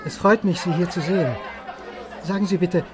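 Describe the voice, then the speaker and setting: Male actor as polite judge, raised pitch, stiff, subdued, courteous, crowd in background, radio drama. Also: normal speed, lightly acted. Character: formal, polite, subdued judge, a bereaved father. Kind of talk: radio play acting. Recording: busy outdoor crowd behind.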